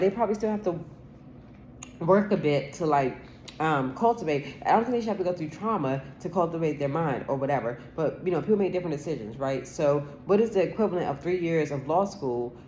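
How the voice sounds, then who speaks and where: A woman's voice: low voice, darkish tone, a little hiss or hum. Woman, 40s, solo vlogger. Rambling, insistent monologue with lots of fillers and casual profanity. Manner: rambling and aggrieved, with many restarts and fillers; swears casually and repeats herself insistently.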